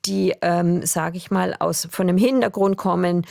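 A woman's voice: fairly low pitch, fairly even intonation, normal speed, gravelly, a little mellow, dry recording, studio quality. A middle-aged woman from southwest Germany with an Alemannic German accent, answering interview questions in a chatty, hesitant way with many fillers. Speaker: journalist guest.

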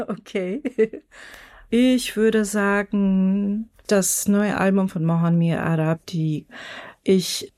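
Slow-speaking Iranian woman with a Persian accent. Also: mid-range voice, fairly even intonation, darkish tone, no echo, clean studio sound. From Iran. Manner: non-native German with irregular grammar and searching phrasing. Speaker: musician and festival director, interview guest. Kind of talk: radio interview conversation.

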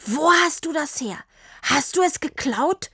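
A woman voicing a hot-tempered, hurt father in a dramatic audiobook, angry and hissing or shouting. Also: medium pitch, steady tone, moderate pace, no room sound, clean studio sound, natural voice. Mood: accusing. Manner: shouting in anger, hissing through his teeth.

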